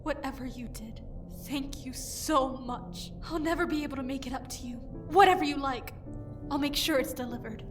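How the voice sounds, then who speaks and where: A woman's voice: high-pitched, normal speed, over music, close to an everyday voice. An actress playing an anxious, doting royal mother in an audio drama, gushing and fretful. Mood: grateful, fretful.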